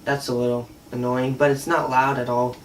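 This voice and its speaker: A man's voice: mid-range voice, little pitch movement, normal speed, slight background noise. A man giving a casual, unscripted product demo in a hesitant, conversational way. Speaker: product reviewer. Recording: distant mic, faint music at times.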